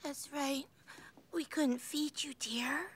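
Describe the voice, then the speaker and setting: A woman's voice: sits high, slow, dry recording. An actress playing a genteel mother mouse in a family film, sugary, plaintive and refined. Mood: tender, plaintive.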